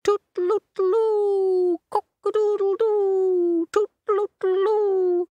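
A woman voicing a dramatic storybook cockerel, shrill and drawn-out, as if crowing. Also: big pitch swings, vocal fry, no echo, muffled recording, heavily acted. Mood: crowing loudly. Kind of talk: storybook character voice.

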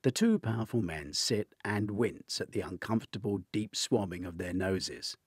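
A man's voice: fairly low pitch, moderate pace, slightly rough, no room sound, clean studio sound. An older man reading a thriller aloud in a dramatic audiobook style. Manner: dramatic, expressive storytelling. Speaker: author reading own novel.

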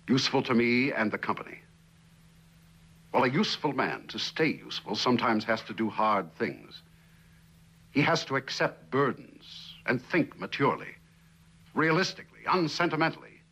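A cynical middle-aged boss in old tv drama, husky and forceful, lecturing you. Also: male voice, medium pitch, exaggerated voice, poor audio. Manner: lecturing, measured, condescending. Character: stern, cynical middle-aged company boss, coldly persuasive. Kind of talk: 1960s television drama acting. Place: indoor living room set.

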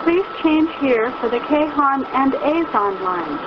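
A woman reading scripted bus announcements for tourists in a measured, formal way. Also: high voice, expressive. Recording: muffled recording inside a noisy moving bus. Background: loud vehicle noise.

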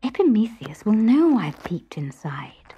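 A woman voicing a curious, wavering young wife from Greek myth in an anxious, breathy half-whisper. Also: mid-range voice, big pitch swings, unhurried, mellow, slight background noise.

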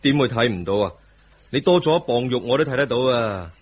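Husky young husband and son. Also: male voice, mid-range voice, animated, brisk. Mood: affectionate. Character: cheeky, coaxing grown son and protective husband. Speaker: radio drama actor. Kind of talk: Cantonese radio drama acting.

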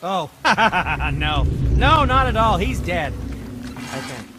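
An actor playing a fastidious, chatty dwarf merchant in a video game, theatrical, precise and a little nervous. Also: male voice, high voice, big pitch swings, background noise, exaggerated voice. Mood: nervous, evasive.

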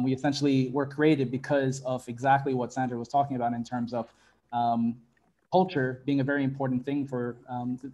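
A man in his thirties from Fiji, introducing himself as a panelist on a video call in a relaxed, friendly way with many fillers. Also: mid-range voice, muffled recording. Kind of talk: webinar self-introduction. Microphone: earbuds on a video call.

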